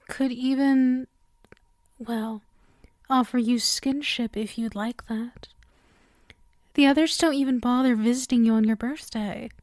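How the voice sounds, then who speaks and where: A woman voicing a clingy, obsessively devoted female demon in an intimate ASMR roleplay, softly and tenderly, often close to a whisper. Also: a little high, no room sound. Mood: eager, shyly devoted, then yearning, affectionate. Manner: soft, breathy, often dropping to a whisper. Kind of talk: ASMR roleplay, intimate monologue addressed to listener.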